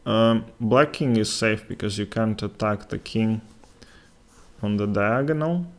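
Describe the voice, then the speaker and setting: A young man with a Russian accent talks slowly through a chess game, his voice low and gravelly. He pauses often and fills the gaps with hesitant fillers as he thinks aloud. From Latvia. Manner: halting, with many fillers and pauses. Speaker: chess commentator. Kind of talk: live chess commentary with chat.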